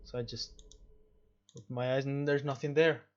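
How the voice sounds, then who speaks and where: A man's voice: mid-range voice, steady tone, moderate pace, dull audio. A man hosting a casual game playthrough, talking reflectively and often repeating his phrases as he goes. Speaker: gaming video host.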